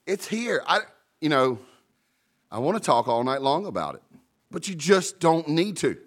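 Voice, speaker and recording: A man's voice: on the high side, sing-song, moderate pace. A man preaching a sermon with emphasis, calling out to the congregation and joking about his own mumbling. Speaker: pastor.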